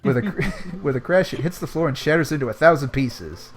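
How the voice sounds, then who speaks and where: A man's voice: on the high side, animated, brisk. A man reading a storybook aloud as host of a group read-along, dropping in casual, amused asides. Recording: music playing behind the voice. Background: music.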